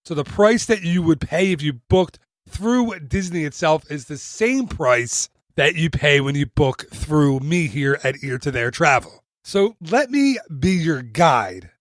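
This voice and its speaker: A man's voice: a little high, big pitch swings, unhurried, no echo. A man hosting a travel podcast, reading an upbeat promo in a chatty way with self-deprecating jokes. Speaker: podcast host and travel agent. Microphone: studio mic.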